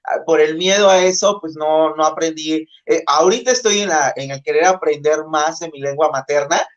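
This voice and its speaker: A man with a southern Mexican accent speaking in a halting, conversational way as a guest in an informal panel discussion. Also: on the high side. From Isthmus of Tehuantepec, Oaxaca, Mexico. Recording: dull lo-fi video call audio.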